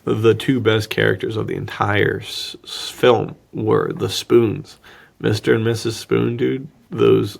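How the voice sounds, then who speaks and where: A man's voice: lowish voice, steady tone, takes it slowly, creaky. A man chatting casually and jokingly as a podcast host, recapping a film in slangy, loose language. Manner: slangy, jokey, acts out dialogue mockingly. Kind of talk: casual movie recap chat.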